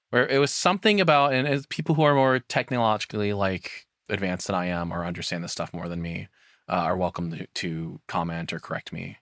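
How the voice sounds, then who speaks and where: A man's voice: fairly low pitch, sharp tone, no echo, studio quality. A man giving casual, off-the-cuff reaction commentary as a streamer, informal and given to swearing.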